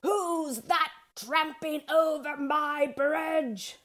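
A woman voicing a fierce, hungry female storybook troll, bellowing drawn-out threats. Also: high-pitched, fairly bright tone, pitched above the performer's own voice, full character voice. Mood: menacing bellow. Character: menacing, hungry female bridge troll, huge-eyed and fierce.

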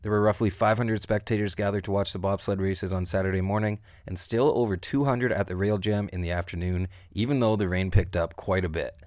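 Low-voiced male radio news reporter. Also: fairly quick, hardly any pauses. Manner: steady, read delivery.

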